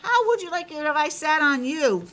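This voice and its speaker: A woman's voice: sits high, big pitch swings, moderate pace, a bit bright, pitched above the performer's own voice. A woman voicing a grumpy, indignant frog in a children's storybook, scolding and blustering.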